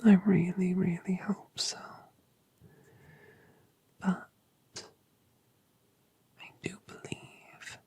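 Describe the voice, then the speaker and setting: A woman voicing a colossal, doting mother dragoness, whispering breathily and cooing gentle questions in an ASMR roleplay. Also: fairly low pitch, fairly even intonation, very slow, gravelly, long pauses, husky, heavily acted. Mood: tender.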